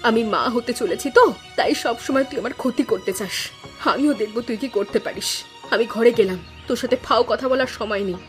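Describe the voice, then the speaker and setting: Quarrelsome cartoon village wife, very high voice, indignant scolding over music female actor. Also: brisk, natural voice. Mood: defensive, scolding. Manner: scolding, emphatic. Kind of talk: Bengali cartoon fairy-tale dubbing.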